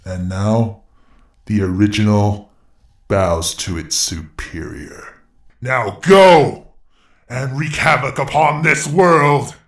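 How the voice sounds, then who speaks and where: A man's voice: lowish voice, roomy sound. A man playing a gloating evil robot overlord in an audio drama, with a grandiose, commanding villain delivery. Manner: slow, grandiose villain delivery with sudden shouts. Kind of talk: fan audio drama acting.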